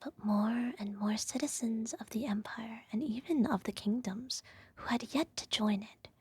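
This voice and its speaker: A woman playing a regal, self-righteous evil empress who soothes her child with a whispered ASMR bedtime story in a measured, gently condescending way. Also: on the high side, dry recording. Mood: solemn storytelling. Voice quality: soft, breathy whisper. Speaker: ASMR roleplay performer.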